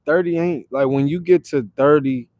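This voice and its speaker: A man's voice: fairly high pitch, moderate pace, darkish tone, no echo. A man hosting a casual livestream, chatting with viewers and answering their comments. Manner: laid-back, repeats phrases, reads chat aloud. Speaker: livestream host. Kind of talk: casual live chat with viewers.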